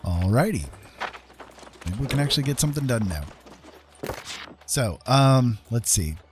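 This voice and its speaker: A man's voice: mid-range voice, very animated, a little slow, noisy background. A man giving casual live gameplay commentary, half talking to himself as he plays. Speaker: game streamer.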